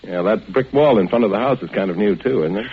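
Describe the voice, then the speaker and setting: An actor playing a curt, suspicious husband in a 1940s radio drama, clipped and sardonic in the hard-boiled manner. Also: male voice, fairly low pitch, quick pace, a little hiss or hum, lightly acted. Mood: wary, sardonic. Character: curt, suspicious husband hunting his missing wife. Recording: muffled vintage radio recording.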